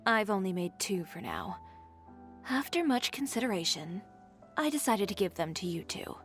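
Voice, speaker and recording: A proud young fashion designer in a video game. She speaks at a medium pitch, composed, dry and sure of herself, over faint music. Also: sing-song, normal speed. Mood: sincere, confident.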